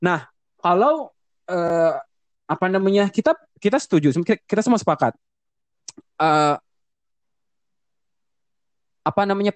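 A young man from Indonesia talks through his thoughts in a relaxed podcast chat, slipping back and forth between Indonesian and English. His voice sits on the higher side and the sound is dry and close. He keeps pausing on fillers and restarting phrases. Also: no room sound. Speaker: podcast co-host. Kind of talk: casual bilingual podcast chat.

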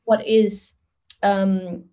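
A middle-aged woman from Singapore, a guest answering interview questions in a thoughtful, measured way, often adding "you know". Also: mid-range voice, flat delivery, warm tone, clean tone. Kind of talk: conversational interview.

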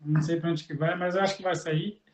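A man hosting a podcast, chatting in a relaxed, slangy way and laughing easily. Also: a little high, fast talker, reverberant. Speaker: podcast host. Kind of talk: casual interview chat.

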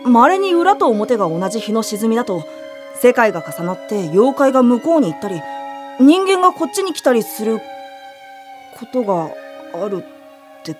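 High, animated, brash youkai kid. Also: female voice, moderate pace, music in the background. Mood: explaining. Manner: rough, slangy, blunt speech. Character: brash, lively androgynous young youkai child on the run. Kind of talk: audio drama acting.

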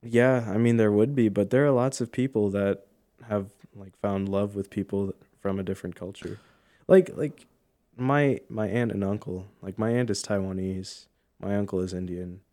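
A man's voice: on the low side, normal speed, dark tone. A man in his early twenties chatting casually with a friend, asking curious questions. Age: 20s. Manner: curious, questioning, laughs easily. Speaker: friend in conversation. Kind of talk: casual chat.

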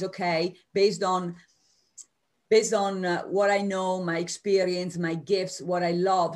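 An Italian American woman with an Italian accent, a career coach speaking as a guest over a video call. She answers spontaneously with energy, in quick bursts with restarts. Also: fairly low pitch, a bit bright. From United States.

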